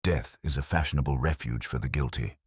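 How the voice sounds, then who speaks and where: A man voicing a coolly precise, commanding detective with a crisp, dry, clipped delivery in a story reading. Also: rumbling low, fairly quick, no room sound. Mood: commanding, analytical.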